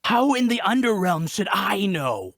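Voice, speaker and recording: A husky-voiced bandit survivor, played by an actor, blunt and combative. The lines come indignant and wary in a dramatic table read, recorded close and dry. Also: medium pitch, very animated, unhurried, fairly bright tone, dry recording, exaggerated voice. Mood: defensive, exasperated.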